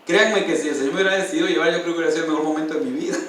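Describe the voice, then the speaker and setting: A man's voice: a little high, very fast, fairly bright tone. A male pastor preaching a sermon and sharing a personal testimony with feeling, often calling out to his listeners as brother. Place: church. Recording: distant, echoey church hall.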